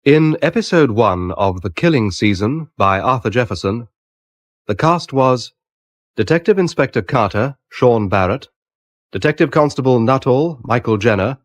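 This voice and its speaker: A man's voice: medium pitch, dry recording. Male radio announcer, dry close sound. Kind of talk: scripted announcement.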